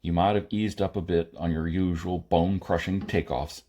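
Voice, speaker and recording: A man voicing a gruff, no-nonsense spaceman with a curt, matter-of-fact delivery in an audiobook. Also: deep voice, steady tone, moderate pace, warm tone, slight background noise, close to an everyday voice. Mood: gruff, brusque.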